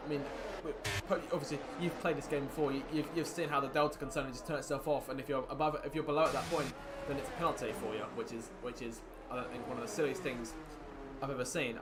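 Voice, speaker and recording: A man commentating a sim racing league, calling the action excitedly while his voice is wearing thin. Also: medium pitch, background noise. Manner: excited play-by-play, rising with the action. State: losing his voice. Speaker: lead commentator.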